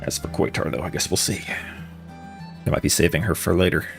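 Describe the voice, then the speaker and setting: Male streamer: very low, gravelly, animated casual commentary over faint game music. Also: a little fast.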